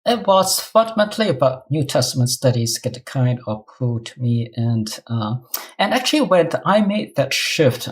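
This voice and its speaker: A man's voice: mid-range voice, very animated, normal speed. A middle-aged man with a Singaporean accent, answering interview questions conversationally as an academic guest. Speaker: guest, New Testament professor.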